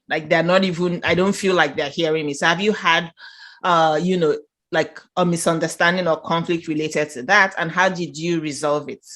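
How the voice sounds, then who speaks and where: A woman hosting a casual conversation, with a loud voice, laughing easily. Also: on the low side. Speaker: host.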